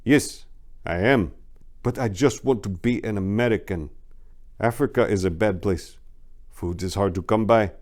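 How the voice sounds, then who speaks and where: A man's voice: fairly low pitch, a little mellow, lightly acted. An earnest young immigrant man played by a male narrator. He speaks plainly in simple, careful English, a character in a crime audiobook. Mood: earnest, somber. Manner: simple, formal, halting English.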